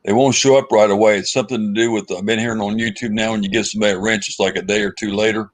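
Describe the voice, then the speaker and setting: A man's voice: on the low side, fairly even intonation, very fast. A man hosting a live stream panel, talking in an easygoing casual drawl. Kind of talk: casual chat.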